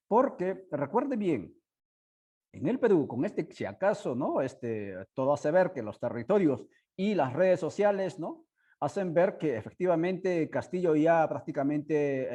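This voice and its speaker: A man hosts an online discussion over a video call headset, his voice mellow and a little higher than most men's. He talks in halting bursts and keeps checking in with his listeners through tag questions. Spanish is his second language. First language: not Spanish. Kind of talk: informal spoken commentary to an online audience.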